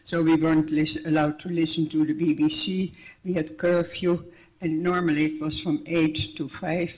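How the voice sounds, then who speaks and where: A woman's voice: sits low, level tone, normal speed, a little mellow, breathy edge, low-quality recording. An older woman from the Netherlands with a Dutch accent, giving a plainspoken personal account to an audience. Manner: plainspoken, restarts sentences, wry. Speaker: guest speaker.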